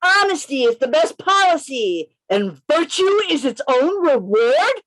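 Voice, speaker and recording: A woman's voice: high voice, big pitch swings, fairly bright tone, dry recording, heavily acted, poor audio. A woman playing a bold, defiant male undercover detective in an amateur radio play reading, with emphatic, declamatory delivery over a video call. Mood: defiant oratory. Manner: declamatory, emphatic, deliberate phrasing.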